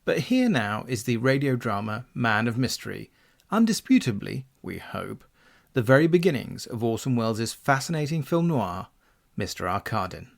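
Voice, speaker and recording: A man's voice: mid-range voice, big pitch swings, normal speed, creaky. A man hosting a podcast, reading scripted narration in a bookish, precise way. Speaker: podcast host.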